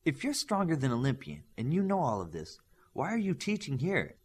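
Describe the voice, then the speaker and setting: A man's voice: a little high, huge pitch swings, fairly quick, slightly rough, pitched above the performer's own voice, full character voice. A young superhero in training, voiced by a man reading an audiobook, sounds curious and eager. The voice sits raised and swings upward in a questioning way. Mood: curious, pressing. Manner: questioning, rising intonation.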